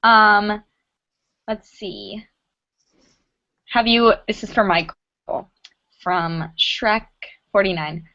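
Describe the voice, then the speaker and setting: A woman's voice: medium pitch, very slow, pauses often, bright, forceful tone, dull audio. A woman interviewing guests, reading out fan questions with short friendly replies. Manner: friendly, brief, says "cool" often.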